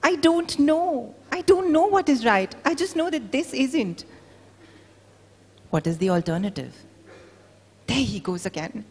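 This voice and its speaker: A woman's voice: sits high, expressive, measured pace, pauses often, breathy edge. A woman giving a dramatic literary reading aloud at a live book event. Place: large indoor event venue. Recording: echoey hall.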